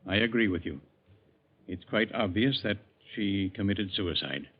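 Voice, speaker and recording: An actor playing a formal, authoritative ship's captain in a 1940s radio drama, speaking in an official, measured manner. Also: male voice, lowish voice, expressive. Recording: tinny vintage radio broadcast.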